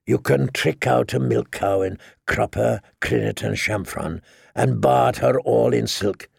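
A man voicing a cocky, cruel highborn knight with a mocking, sneering drawl for an audiobook. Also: a little slow, gravelly, husky, dry recording, clean studio sound, heavily acted.

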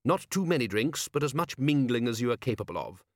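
A man acts out an urbane, commanding city ruler from a storybook. His speech is formal and measured with a deadpan wit, and the sound is dry and close. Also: medium pitch, dry recording. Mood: wry.

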